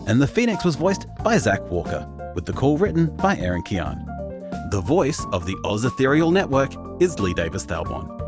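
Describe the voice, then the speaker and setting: A man reading scripted podcast credits and promos as an announcer. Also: mid-range voice. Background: guitar music.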